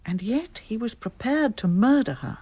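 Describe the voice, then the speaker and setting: An actress playing an inquisitive, forthright woman in a radio mystery, with an animated, direct way of questioning. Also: a little high, moderate pace, slight background noise, natural voice. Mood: puzzled. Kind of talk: radio mystery drama acting.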